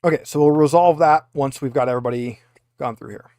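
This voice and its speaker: A man's voice: medium pitch, fast, darkish tone, no echo, studio quality. A man acting as game master, talking players through dice rolls and rules in a tabletop roleplaying session.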